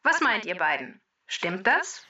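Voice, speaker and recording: A woman's voice: mid-range voice, very animated, unhurried, sharp tone, recorded from a distance, lo-fi sound. A woman voicing an immortal talking database in a children's podcast, theatrical and emphatic as she explains and poses quiz questions. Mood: brisk quizmaster. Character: immortal talking database, female quiz-giving know-it-all machine. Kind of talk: scripted explaining and quizzing for children.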